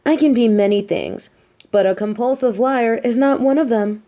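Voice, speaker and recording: A woman's voice: a little high, normal speed, warm tone, lightly acted. A woman playing a smug, mean but sympathetic supervillain captor in an audio roleplay, talking in a taunting, theatrical, conversational way. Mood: indignant, firm. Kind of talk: roleplay audio drama monologue.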